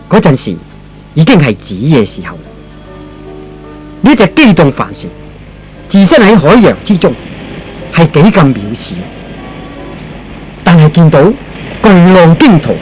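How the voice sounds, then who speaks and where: Animated male radio drama storyteller. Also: fairly high pitch, poor audio. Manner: theatrical, emphatic. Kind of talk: dramatic scripted storytelling narration.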